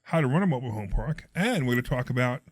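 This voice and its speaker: A middle-aged man from Pennsylvania with a hoarse, froggy voice, telling a story as a podcast guest in an animated way. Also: medium pitch, fast talker, no echo. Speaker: podcast guest, real estate investor. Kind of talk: casual storytelling chat.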